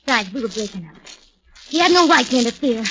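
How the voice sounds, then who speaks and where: A woman's voice: fairly high pitch, animated, fast, lightly acted. An actress playing an anxious, urgent young woman, pleading and insistent, in a 1940s radio melodrama. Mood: tense, pleading. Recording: noisy, dull 1940s radio recording.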